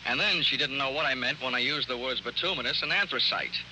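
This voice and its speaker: An actor playing a wisecracking private investigator in a 1940s American radio drama, with a sardonic, punchy, slangy delivery. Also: male voice, medium pitch, fast talker, pushed and bright. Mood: probing, pressing. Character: wisecracking, hard-boiled male private investigator. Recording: noisy, hissy 1940s radio recording.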